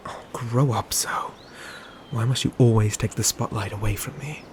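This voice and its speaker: A man acts out a stern, ambitious knight in a medieval fantasy roleplay. His medium-pitched voice carries formal, old-world phrasing in a measured delivery, with audible ambient noise in the recording. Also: steady tone, a little mellow, lightly acted. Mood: exasperated. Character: dutiful, stern male knight, ambitious twin brother, protective. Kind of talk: scripted medieval fantasy audio roleplay, ASMR.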